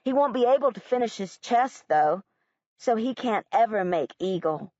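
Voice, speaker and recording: A woman's voice: on the high side, lively intonation, normal speed, a little mellow, no room sound, dull audio, close to an everyday voice. A woman voicing an earnest, literal-minded young girl who speaks bluntly and matter-of-factly.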